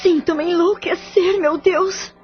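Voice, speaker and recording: A woman's voice: extremely high pitch, steady tone, takes it slowly. An actress playing a middle-aged mother who speaks in an anxious, pleading, distressed way. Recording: dull lo-fi audio with faint music.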